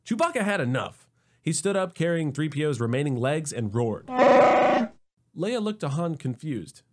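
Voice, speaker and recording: A man narrating a scripted comedic sci-fi story in an expressive, wry manner. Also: a little high, unhurried.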